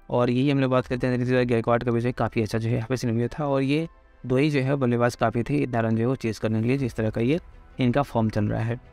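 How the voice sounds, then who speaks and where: A man presenting a cricket pitch report, reading off wickets and runs from past matches in loose, informal speech padded with fillers. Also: a little mellow. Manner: rattles off figures with constant fillers. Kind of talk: fast informal cricket stats rundown.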